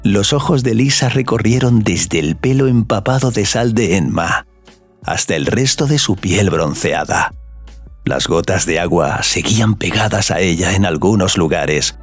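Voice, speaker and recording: A man's voice: deep voice, expressive, measured pace, breathy edge. A man narrating a scripted romantic audio story as a storyteller. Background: music.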